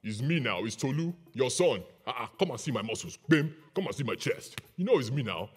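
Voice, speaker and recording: Man as a blunt, lower-pitched burly young hunter. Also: sing-song, fairly quick, husky, faint music behind. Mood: insistent.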